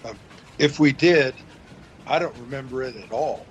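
A man's voice: mid-range voice, moderate pace, lo-fi sound, a little hiss or hum, lightly acted. A man playing an earnest, puzzled boyfriend in an audio fantasy drama.

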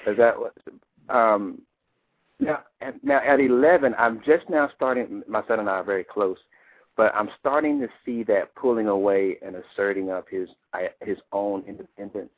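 A co-host on a call-in radio show, playful and joking, laughing a lot between remarks. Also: male voice, lowish voice, normal speed. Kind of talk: casual call-in radio chat. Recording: tinny phone line.

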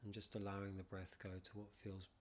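A man leading a guided meditation as a teacher, calm and measured, leaving long pauses. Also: deep voice, a little hiss or hum. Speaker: meditation teacher, podcast guest. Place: non-soundproofed room.

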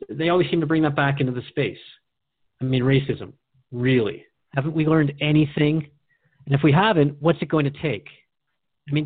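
A man's voice: mid-range voice, dry recording, phone-quality sound. A man hosting a radio dialogue, speaking in an earnest, reflective and questioning way, like an interviewer in a scripted exchange. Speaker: radio host.

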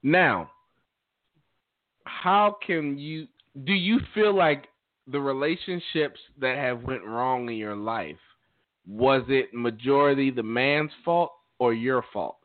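A man hosting a casual call-in talk show, often repeating words for emphasis. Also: slow pace. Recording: muffled low-bandwidth online call audio.

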